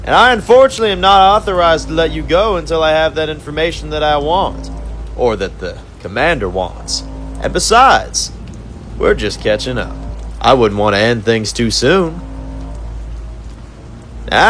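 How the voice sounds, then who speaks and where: A man's voice: on the high side, sing-song, fairly bright tone, voice pushed up. A gloating, sadistic villain taunts his captive in a raised, mocking voice, as a man puts him on. He draws out his words with cruel amusement. Faint music plays behind. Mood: taunting. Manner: taunting, drawn-out mocking delivery.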